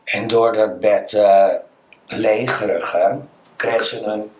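A Dutch man talking as an interview guest, telling personal stories conversationally and halting as he searches for words. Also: lowish voice, fairly even intonation, a little mellow. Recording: echoey, reverberant room.

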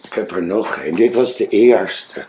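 A man talking casually and personally in a halting, plain-spoken way, in an echoey room. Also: lowish voice, mellow, a little hiss or hum.

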